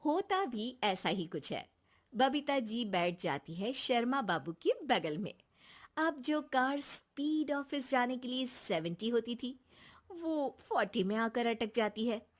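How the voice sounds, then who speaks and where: A woman's voice: fairly high pitch, expressive, slow, pitched below the performer's own voice. A woman narrating a scripted comic cartoon story.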